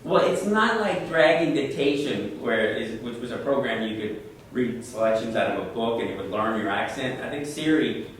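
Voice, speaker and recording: A man's voice: mid-range voice, a bit bright, slight background noise. A man presenting tech tips at a user group meeting, talking conversationally and answering questions, often repeating words as he goes. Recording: echoey room, mic far off.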